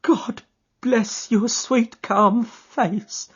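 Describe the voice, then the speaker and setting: A tall old housekeeper woman, fretful and near tears, speaking high and drawn out, as a male narrator puts her on. The husky voice sounds somewhat dull and lo-fi. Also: expressive, measured pace, exaggerated voice. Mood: anxious.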